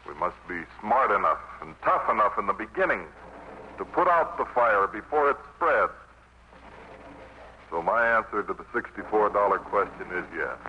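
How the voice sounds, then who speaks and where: An actor playing a down-to-earth, earnest American army chaplain preaching informally in a 1950s radio drama. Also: male voice, on the low side, sing-song, normal speed. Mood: earnest, resolute. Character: plainspoken, folksy American army chaplain, steadfast. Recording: muffled, noisy vintage radio broadcast.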